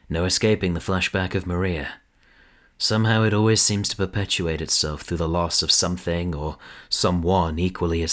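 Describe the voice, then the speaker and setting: A man's voice: deep voice, a bit bright. A man reading scripted, dramatic narration as the narrator of an audio drama.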